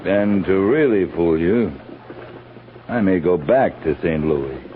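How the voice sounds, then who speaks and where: An American actor playing a gruff, world-weary cavalry captain in a 1950s radio western. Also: male voice, low-pitched, lively intonation, takes it slowly. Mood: wry, weary. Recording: tinny, noisy old radio recording.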